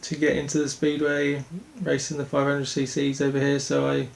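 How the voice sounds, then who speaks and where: Flat, gravelly-voiced young man. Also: mid-range voice. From Denmark. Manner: earnest, halting, many restarts. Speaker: speedway rider. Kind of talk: interview answers.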